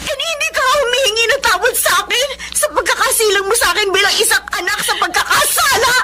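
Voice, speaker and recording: Very high-pitched, vindictive Filipino scheming woman. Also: fairly quick. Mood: defiant, anguished. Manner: taunting, threatening, melodramatic. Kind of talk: Filipino radio drama acting. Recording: noisy radio drama recording.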